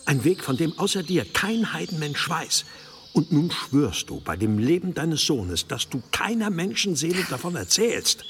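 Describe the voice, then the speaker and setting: An actor playing an exasperated, secretive abbot in an audio drama, curt and sarcastic. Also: male voice, a little high, sing-song, normal speed, fairly bright tone, a little hiss or hum. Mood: secretive, hurried.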